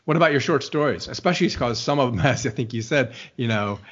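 A man's voice: mid-range voice, animated, quick pace, a bit bright, breathy edge. An older man hosting a bookstore interview show, asking rambling, musing questions with many restarts. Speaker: bookstore radio host interviewing an author. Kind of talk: conversational interview. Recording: dull, lo-fi sound.

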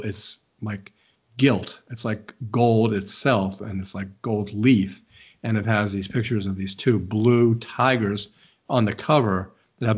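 Older male host, low voice, meandering questions, lo-fi dull audio. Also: slightly rough. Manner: rambling, musing questions with many restarts. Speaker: bookstore radio host interviewing an author. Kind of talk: conversational interview. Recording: dull, lo-fi sound.

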